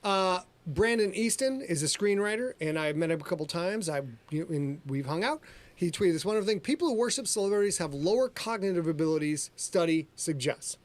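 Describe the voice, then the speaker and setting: A middle-aged man hosts a casual podcast livestream. He jokes and boasts like a showman, and his voice sits a bit high for a man. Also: expressive, fairly quick. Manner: boastful, jokey, showman-like, addresses ladies and gentlemen. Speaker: podcast host and author.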